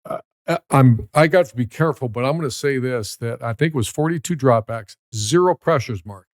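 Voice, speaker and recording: Coach guy talking football, emphatic, middle pitch, dry close mic. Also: fairly quick, dry recording. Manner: emphatic, repeats key numbers. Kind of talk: casual sports analysis.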